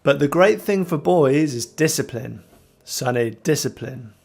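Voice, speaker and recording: A man voicing a sly old sailor from a storybook, menacing and wheedling, with rough speech and dropped H's. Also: mid-range voice, slow. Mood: fawning, stern.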